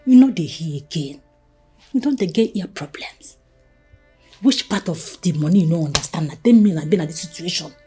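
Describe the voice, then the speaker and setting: A woman's voice: on the low side, expressive, fairly quick, music in the background, lightly acted. An actress with a Nigerian accent playing a desperate scheming woman who demands money, mixing pidgin and swinging from whispers to outbursts. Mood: pressured. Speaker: actor. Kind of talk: Nollywood drama acting.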